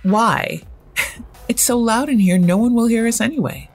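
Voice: mid-range voice, a little slow, very echoey, in a large hall, faint music behind, voice pushed up, natural voice. A storyteller playing a defiant, wary seventeen-year-old girl with a blunt, challenging manner.

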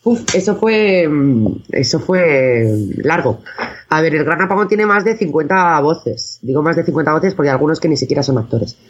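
A woman's voice: low-pitched, moderate pace. A woman from chatting casually as an interview guest. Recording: dull, band-limited sound.

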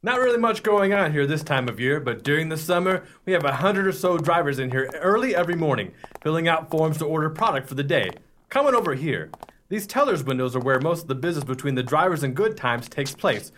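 An actor playing an affable, folksy supervisor of ice cream truck drivers in a radio play. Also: male voice, a little high, lively intonation, brisk, a bit bright, slight background noise. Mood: helpful, folksy.

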